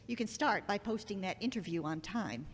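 Casting: businesslike female boss acted by a woman, animated delivery, echoey recording with some noise. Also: mid-range voice, moderate pace, natural voice. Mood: brisk, firm. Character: brisk, businesslike woman boss, nearing retirement. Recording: echoey hall reverb, background noise.

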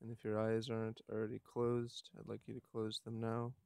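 A man leading a guided meditation outdoors, speaking softly and haltingly, with unhurried instructions and long pauses. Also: fairly low pitch, completely flat delivery, gravelly, clean tone, dry recording, clean studio sound.

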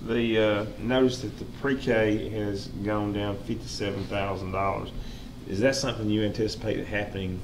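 Board member with a low, flat voice asking a question from a distance across the room. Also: male voice, normal speed, hardly any pauses, background noise. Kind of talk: question at a public meeting. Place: large meeting room. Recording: echoey room, far from mic, audience.

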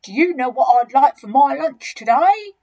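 A woman voicing an enormous, greedy, boastful male crocodile in a children's storybook, with gloating, drawn-out emphasis. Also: high voice, animated, mellow, voice pushed up. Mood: gloating, hungry. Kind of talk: children's storybook character reading.

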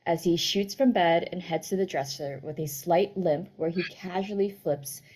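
A woman's voice: lowish voice, normal speed, darkish tone, husky. A young woman reading screenplay stage directions as narrator over a video call. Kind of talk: scripted narration, screenplay table read. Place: indoor room on a video call. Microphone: video call microphone.

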